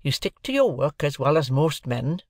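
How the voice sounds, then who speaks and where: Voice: mid-range voice, big pitch swings, normal speed, no room sound, clean studio sound. A performer voicing a peaceable, plain-spoken master blacksmith with a simple, placating country manner in an audiobook. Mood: conciliatory.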